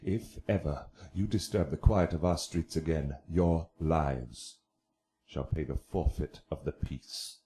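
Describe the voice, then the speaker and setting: Imperious prince, measured pace, roomy sound, classical radio play. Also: male voice, very deep voice, mellow. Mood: stern, angry. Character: stern, commanding prince rebuking brawling subjects. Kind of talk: declamatory Shakespearean radio acting.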